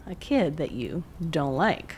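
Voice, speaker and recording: A young woman played by an actress in an audio drama, posing as a casual killer with a teasing edge. Her pitch is lively and varied, with faint noise in the recording. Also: slow pace, full character voice. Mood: smug, casual threat.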